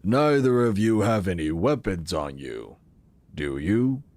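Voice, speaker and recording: A man playing an affable, enigmatic tech company founder in an audio drama, measured and welcoming. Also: low voice, very animated, a little mellow, no room sound, heavily acted. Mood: teasing, assured. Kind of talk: full-cast audio drama acting.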